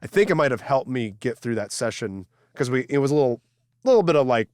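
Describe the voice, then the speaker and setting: A man's voice: mid-range voice, sing-song, fast talker, darkish tone, dry recording, studio quality. A congested man, a podcast co-host, rambling in a casual chat with many stammers and restarts. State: congested from a cold.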